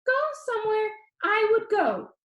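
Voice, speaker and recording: A very high, excitable young male video host from a storybook, as a woman reader acts him out. He is upbeat, a little weird and emphatic, urging listeners on with big swoops of pitch. The room rings slightly around the voice. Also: unhurried, roomy sound, exaggerated voice. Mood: eager, urging. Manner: bubbly, emphatic, encouraging delivery. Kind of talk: theatrical audiobook character voice.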